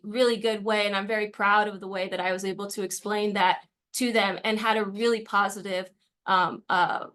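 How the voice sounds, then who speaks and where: A woman's voice: medium pitch, fairly bright tone. A woman on university faculty, speaking earnestly and with pride as a panelist in a webinar discussion. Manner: earnest, proud, explanatory.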